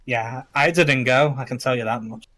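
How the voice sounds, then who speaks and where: A man's voice: mid-range voice, normal speed, fairly bright tone. A young man with a Liverpool accent, chatting casually and informally with plenty of slang. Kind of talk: casual chat.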